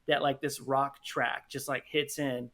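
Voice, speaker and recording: A man's voice: medium pitch, level tone, a little slow, pushed and bright. A man talking casually as a podcast guest, earnest and agreeable. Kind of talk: casual discussion.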